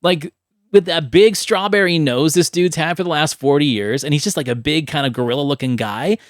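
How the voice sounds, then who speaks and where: A man's voice: on the high side, brisk, no echo. Conversational male podcast host, dry sound. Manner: conversational, tags lines with "right?", casual swearing. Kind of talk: casual movie chat.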